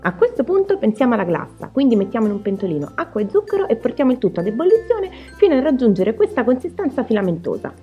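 A woman's voice: fairly high pitch, sing-song, fast talker, hardly any pauses, dull audio, soft background music. A woman narrating a recipe in voice-over as a home cooking host.

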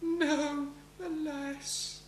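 A high, slow young voice of a schoolgirl acting plays a distraught lady, grieving melodramatically in a radio play. The room is echoey. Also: flat delivery. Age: child, about 12 to 13. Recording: echoey room, faint hum.

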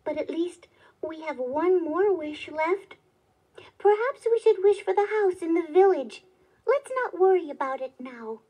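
An excitable country wife from a storybook, acted by a woman reader. Her voice is very high and emphatic, and her words come out slowly. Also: low-quality recording. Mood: apologetic. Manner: exclamatory, emphatic. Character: excitable, scolding woodcutter's wife. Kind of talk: children's storybook character voice.

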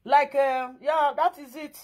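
A woman in her thirties with a Nigerian Pidgin accent, chatting to her viewers indoors in an animated, emphatic way. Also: high voice, unhurried. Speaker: vlogger. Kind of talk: casual chat to viewers. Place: indoors against a plain wall.

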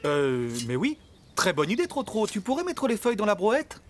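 An actor voicing a kind, busy father donkey in a children's cartoon, gentle and fatherly. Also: mid-range voice, huge pitch swings, moderate pace, soft background music. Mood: encouraging. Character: kind, busy daddy donkey, grown male.

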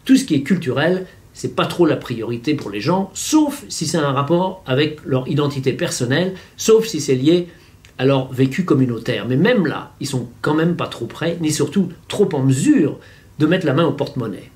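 A man's voice: a little high, lively intonation, moderate pace, a little mellow. A man in his fifties giving didactic, wry opinion commentary to a camera in a room at home. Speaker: vlogger commentator. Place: small furnished room at home.

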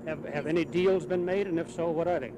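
A man's voice: on the high side, fairly quick. A man working as a news reporter, asking probing interview questions. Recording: noisy archival newsreel, street sound.

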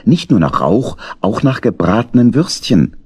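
A man's voice: mid-range voice, big pitch swings, moderate pace, a little mellow, husky, no echo, clean studio sound. A man narrating a children's story in an audio drama as a scripted storyteller.

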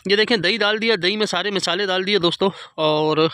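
A man's voice: sits high, a little fast, sharp tone. A man with a Karachi Urdu accent chatting casually to viewers while filming a night fishing trip on the beach, talking into a handheld phone. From Pakistan. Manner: chatty, keeps addressing friends, frequent pious interjections. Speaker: fishing vlogger. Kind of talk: casual outdoor vlog narration. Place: night beach campsite by a fire, outdoors.

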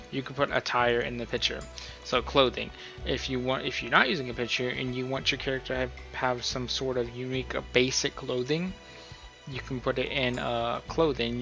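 Bright-voiced man giving a casual tutorial. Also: medium pitch, creaky. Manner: informal, rambling, thinking aloud. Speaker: video tutorial host. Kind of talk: casual tutorial commentary, explaining a role-play character profile.

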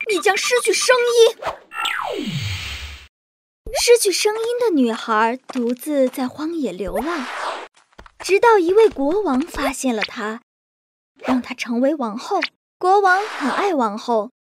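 A woman narrating bedtime fairy tales for young children in a scripted, expressive way. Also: high-pitched, unhurried, music in the background. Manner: lively, expressive. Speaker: storyteller.

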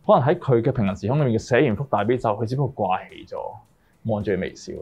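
A man's voice: on the low side, animated, fast talker, darkish tone, slightly rough, lo-fi sound. A man with a Hong Kong accent explaining his work in an interview as a director, mixing in English words. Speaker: music video director. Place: small indoor room, group interview, through a face mask.

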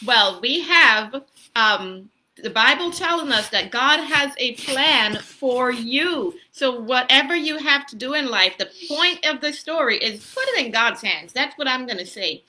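Bright-voiced woman hosting children's story time. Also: high-pitched, lively intonation, a little slow, a little hiss or hum, rough recording. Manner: simple explanations with frequent questions to children. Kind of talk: casual, child-directed teaching chat. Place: small room at home. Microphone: laptop or phone at arm's length.

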